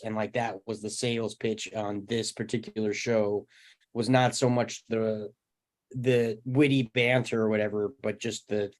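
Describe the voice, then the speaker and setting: A man in his thirties talking casually in a group discussion, reflective and halting, with long pauses. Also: lowish voice, a little mellow. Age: 30s. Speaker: table read participant.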